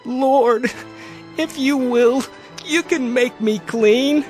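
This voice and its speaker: A man's voice: very high-pitched, drawn-out words, darkish tone, faint music behind, full character voice. A man playing a humble, pleading supplicant in an audio Bible drama. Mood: pleading, desperate. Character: humble pleading male supplicant, leper or disciple.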